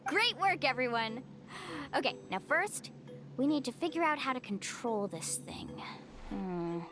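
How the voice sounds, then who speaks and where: Bright-pitched upbeat young adventurer. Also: female voice, big pitch swings, a little slow, over music. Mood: thoughtful, friendly. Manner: breezy, encouraging. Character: upbeat, confident, trusting young woman adventurer. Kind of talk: video game voice acting.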